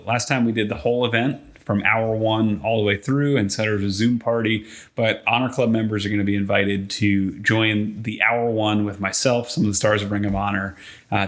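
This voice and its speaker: A man co-hosting a casual wrestling podcast, talking enthusiastically like an announcer. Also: lowish voice. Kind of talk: casual podcast chat about pro wrestling.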